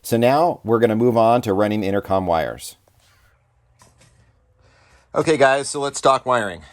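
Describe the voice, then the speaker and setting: A man's voice: mid-range voice, expressive, moderate pace. A man hosting a casual DIY tutorial, explaining each step informally to viewers as he works. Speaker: DIY off-road channel host. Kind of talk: casual hands-on install tutorial.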